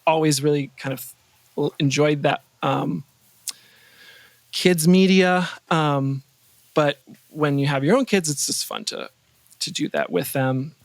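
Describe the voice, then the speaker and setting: Man, middle-aged, fairly high voice, dry close sound, conversational interview guest, halting phrasing. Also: creaky, no echo. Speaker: podcast guest, composer and sound designer. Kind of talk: casual interview chat.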